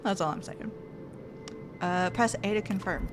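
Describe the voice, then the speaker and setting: Chatty woman streamer, playful, music clearly under her voice. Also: medium pitch, unhurried. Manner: chatty, playful, talks to herself. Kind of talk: playing a game live. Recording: game music loud under voice.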